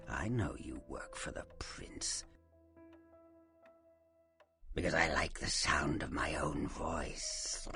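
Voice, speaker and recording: A man's voice: extremely low pitch, creaky, exaggerated voice. A man playing a sly, taunting Nosferatu vampire and faded film star in a video game, theatrical and teasing, often dropping into a menacing whisper. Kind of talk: video game character acting.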